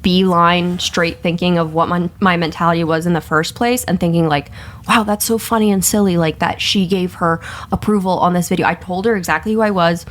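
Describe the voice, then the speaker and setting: Young woman, American, slightly low voice, candid and chatty podcast host who tells stories freely. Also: brisk. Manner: candid, animated storytelling with quoted asides and heavy swearing. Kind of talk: casual podcast chat.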